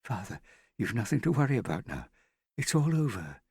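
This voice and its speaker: A distraught young woman begs and pleads, her voice lifted high and tight, as a man reads her part. The delivery sits close and dry, with a husky edge underneath. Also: very animated, a little fast, a little mellow, dry recording, heavily acted. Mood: pleading. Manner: anxious, pleading.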